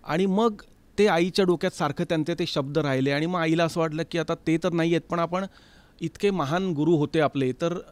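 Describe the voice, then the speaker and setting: Man, slightly high pitch, dry studio sound, conversational storytelling, code-switches into Hindi and English. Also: a little fast, clear, resonant tone, no echo. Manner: animated agreement, repeats words, slips into Hindi and English. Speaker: interview guest, tabla player and festival organiser. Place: recording studio.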